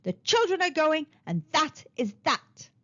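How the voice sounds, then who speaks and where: A woman's voice: high voice, very animated, unhurried, fairly bright tone, dull audio, voice pushed up, heavily acted. A woman voicing a harsh, selfish stepmother in a storybook, with a bossy and insistent way of speaking. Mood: cold, insistent.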